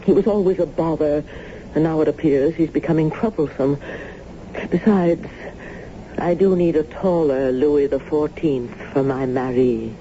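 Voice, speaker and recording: A woman's voice: low-pitched, a little slow, warm tone, a little hiss or hum. An actress playing a sly, doting landlady obsessed with figurines, coy and theatrical in an old radio drama. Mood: coolly scheming. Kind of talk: 1970s radio drama acting. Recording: dull old radio broadcast with music.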